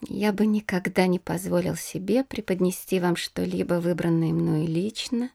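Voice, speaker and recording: An anxious young princess, thinking aloud. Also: female voice, fairly low pitch, fairly even intonation, normal speed, vocal fry, mellow. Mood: reading, agitated. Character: anxious young married princess reading a love letter. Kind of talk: audio drama acting.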